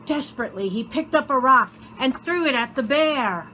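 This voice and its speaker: A woman reading a children's story aloud in an expressive, theatrical way, with deliberate dramatic pauses. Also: sits high, slight background noise, low-quality recording. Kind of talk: expressive scripted story narration.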